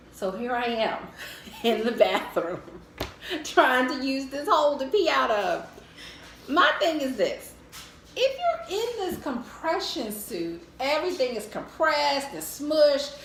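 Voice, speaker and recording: A middle-aged American woman telling a casual, humorous personal story in a candid, animated way. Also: high-pitched. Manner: animated, candid, acts out her own thoughts. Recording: echoey room with faint noise.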